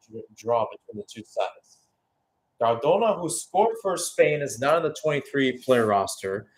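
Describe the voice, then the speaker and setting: A man's voice: a little high, darkish tone, rough recording. Man calling live football play-by-play. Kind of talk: live radio-style match commentary.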